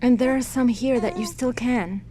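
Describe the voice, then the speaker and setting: Female actor as consoling woman survivor, slightly high, soft and somber, audio drama, faint noise underneath. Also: steady tone, normal speed, natural voice. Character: gentle, shaken woman survivor tending an injured friend.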